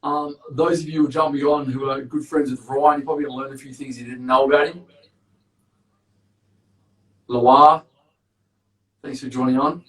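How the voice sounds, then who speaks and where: Big-voiced host in echoey room. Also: male voice, medium pitch, steady tone, normal speed, pauses often. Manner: loud, big voice, chatty and upbeat. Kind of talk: casual live-stream interview. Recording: echoey room, distant mic.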